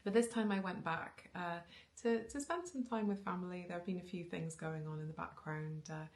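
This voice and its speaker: A woman in her thirties talks casually into a camera in her living room, her voice low and touched with vocal fry. She rambles along with ums and restarts, breaking into small laughs. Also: moderate pace. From Wales. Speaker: knitting vlogger. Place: living room with bookshelves, indoors. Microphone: camera on a tripod facing her.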